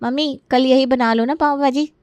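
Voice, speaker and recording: A woman's voice: high-pitched, steady tone, moderate pace, a little mellow, clear, resonant tone, no room sound, voice pushed up, natural voice. A cheeky young adult from an Indian family cartoon, played by a woman. The delivery is playful, wheedling and exaggerated, recorded close and dry. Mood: pleading.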